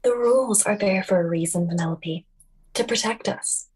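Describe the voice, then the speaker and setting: Woman as a husky, snooty mean-girl racer. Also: medium pitch, normal speed, darkish tone, lightly acted. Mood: mocking. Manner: condescending, mocking. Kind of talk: animated film table read.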